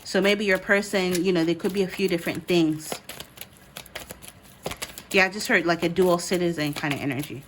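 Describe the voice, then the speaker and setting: A woman's voice: lowish voice, fairly even intonation, normal speed, pauses often, a little hiss or hum. A woman from the United States and Ghana, giving a casual, conversational card reading as she speaks directly to her listeners. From born in the United States, grew up in Ghana. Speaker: host, tarot card reader.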